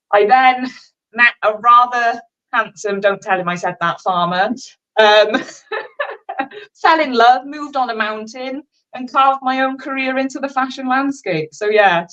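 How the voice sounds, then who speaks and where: A woman's voice: mid-range voice, a bit bright, rough recording. A woman in her thirties with a Welsh accent, a fashion designer chatting candidly and casually as a guest on a livestream, poking fun at herself and letting the odd swear slip. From Wales. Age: 30s. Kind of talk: casual livestream interview chat.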